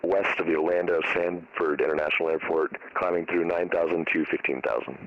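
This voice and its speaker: Deep, fast-talking male pilot on radio. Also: steady tone, no echo. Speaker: pilot on a flight simulation network. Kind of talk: clipped radio phraseology. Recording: muffled, noisy radio transmission.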